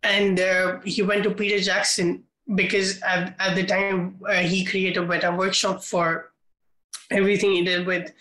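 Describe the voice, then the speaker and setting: A man's voice: high voice, monotone, moderate pace, pushed and bright, echoey room, low-quality recording. A man co-hosting a film podcast, rambling through casual chat with lots of filler words. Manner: rambling, many "like" fillers, laughs often. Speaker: podcast co-host.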